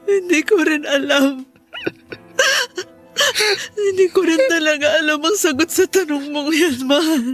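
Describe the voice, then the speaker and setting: A woman acting a frightened young wife and mother in a Filipino radio drama, crying and speaking haltingly. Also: high voice, soft background music. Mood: tearful, fearful. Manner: tearful, halting, repeats phrases.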